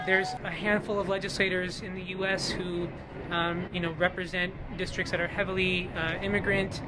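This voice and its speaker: A man organizing a rally speaks outdoors in an interview, stopping often with fillers. His voice is fairly high and flat. Street noise runs loudly behind him. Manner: halting, many fillers.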